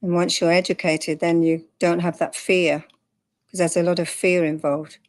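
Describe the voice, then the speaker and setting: Calm older Englishwoman, low voice. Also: moderate pace. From England. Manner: calm, matter-of-fact, with light laughs. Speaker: guest. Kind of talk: candid personal interview.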